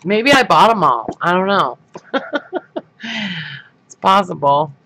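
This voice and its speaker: Slow, bright-voiced man chatting while crafting. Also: sits high, lively intonation, gravelly, slight background noise, low-quality recording. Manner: rambling, many fillers and restarts. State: stuffed-up sinuses. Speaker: craft livestream host. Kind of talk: casual livestream chat while crafting.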